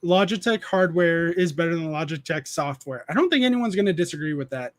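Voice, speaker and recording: Guy in his 30s rambling on his stream, kinda high voice, reads chat out loud. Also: lively intonation, brisk. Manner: rambling, restarts often, reads chat aloud. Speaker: streamer host. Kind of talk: casual live-stream chat.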